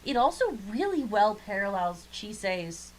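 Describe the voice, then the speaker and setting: A woman's voice: mid-range voice, sing-song, a little slow, a little hiss or hum, low-quality recording. A woman co-hosting a podcast in casual chat, with a blunt, joking manner and a habit of swearing. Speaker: podcast co-host. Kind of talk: casual chat reviewing an anime.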